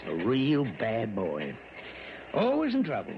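A man's voice: mid-range voice, wildly swooping pitch, gravelly, full character voice. An actor in an old-time radio drama playing a worried, rambling small-town mayor and newspaperman with a rural American accent. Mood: wry storytelling. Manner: rambling, folksy, emphatic drawn-out storytelling. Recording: muffled old radio recording, faint noise.

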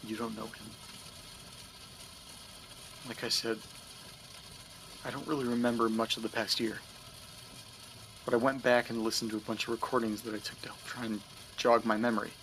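An actor playing an anxious young man who can't remember the past year, talking in a hushed, stammering way. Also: medium pitch, flat delivery, moderate pace, long pauses, a little hiss or hum, close to an everyday voice. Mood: nervous. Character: anxious young man haunted by memory loss. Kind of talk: audio drama acting.

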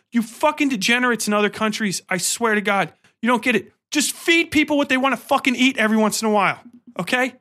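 A man's voice: high-pitched, pushed and bright. A man with an American accent hosting a podcast in a casual comedic rant, slangy, swearing freely and emphatic with exasperation. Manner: slangy, conversational. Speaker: podcast host.

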